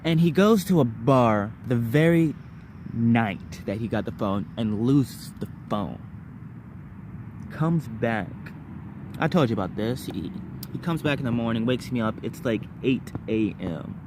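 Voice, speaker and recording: A man's voice: mid-range voice, lively intonation, measured pace, background noise. A man telling personal stories casually to viewers, rambling and repeating words and phrases in bursts. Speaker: vlogger.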